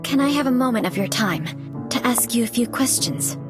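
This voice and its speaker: An actress playing a poised, observant reporter and investigator in a video game, speaking in a measured, analytical, polite way. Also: female voice, a little high, expressive, over music, lightly acted. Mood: polite, curious. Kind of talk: scripted game narration and dialogue.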